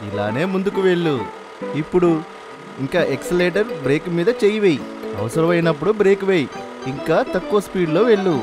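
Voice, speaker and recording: A low-pitched cartoon father, voiced by a woman, firm yet encouraging as he instructs and reassures. Music plays clearly behind. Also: expressive, fairly quick, close to an everyday voice.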